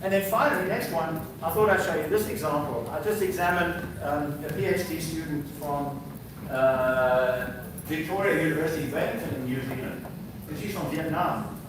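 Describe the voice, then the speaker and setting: A man lectures from slides, walking an audience through examples in an unhurried, explanatory way with plenty of ums. His voice sits a little high and comes from well away from the microphone. The hall rings with heavy echo and a steady background noise. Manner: explaining slides, reads prompts aloud, many fillers. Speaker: visiting professor. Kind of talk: university lecture. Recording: very echoey hall, distant mic, background noise.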